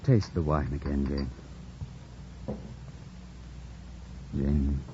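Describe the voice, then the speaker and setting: An actor playing a proud, bitter, commanding gentleman in anguish, imperious and theatrical in an old radio drama style. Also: a bass voice, very slow, full character voice. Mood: tender, pleading. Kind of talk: 1940s-50s radio drama acting. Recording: dull, noisy old radio broadcast recording.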